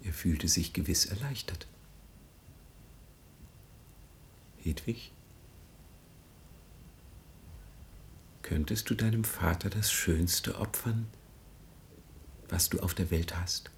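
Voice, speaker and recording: An actor playing a solemn, quietly insistent idealist who persuades with deliberate earnestness, in 1950s German radio drama style. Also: male voice, low-pitched, vocal fry, slight background noise. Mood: quietly persuasive.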